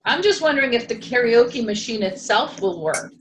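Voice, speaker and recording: A woman in a casual planning meeting, asking quick practical questions. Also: fairly high pitch, lively intonation, slight background noise, rough recording.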